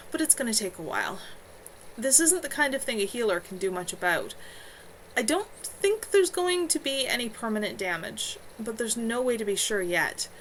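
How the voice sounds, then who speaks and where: A woman's voice: fairly high pitch, a bit bright. A woman voicing a frank, caring woman healer in a storybook reading. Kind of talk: amateur storybook dialogue reading. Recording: noisy home livestream audio.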